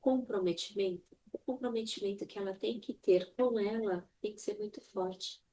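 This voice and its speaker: A woman's voice: mid-range voice, moderate pace, warm tone, rough recording. A woman speaking as a mentor and podcast guest, exhorting the listener directly with emphatic repetition. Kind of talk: motivational conversational talk.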